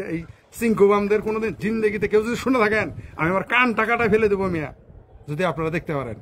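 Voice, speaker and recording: High-pitched Bangladeshi man, scornful ranting. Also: fairly bright tone, a little hiss or hum. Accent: Bangladeshi Bengali. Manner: combative, scornful, swearing freely, rhetorical questions. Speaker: political commentator. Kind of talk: informal political rant to camera.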